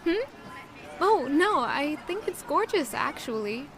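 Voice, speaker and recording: A woman playing a shy, self-doubting young woman who was once an orphan, in an audio drama. She also narrates in the first person and talks in a soft, modest, hesitant way. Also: high-pitched, sing-song, slow pace, soft background music, full character voice. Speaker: narrator and lead character.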